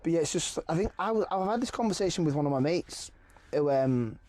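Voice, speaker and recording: A man chatting casually as a podcast guest, animated and quick to slip into impressions of other voices. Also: fairly high pitch. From Cumbria, England. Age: adult.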